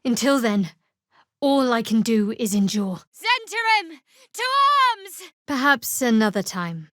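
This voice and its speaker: An actress voicing a guarded, wry and devout young female cleric in a fantasy video game, with a cool, measured, dryly sardonic delivery. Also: fairly high pitch, very animated, a bit bright, no room sound, clean studio sound, exaggerated voice. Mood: guarded, solemn, then battle cry.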